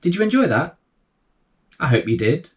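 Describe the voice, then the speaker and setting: A man hosting and reading children's bedtime stories in a gentle, reassuring manner. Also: on the high side, sing-song, normal speed. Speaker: bedtime story reader and host. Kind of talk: storytelling read aloud for children.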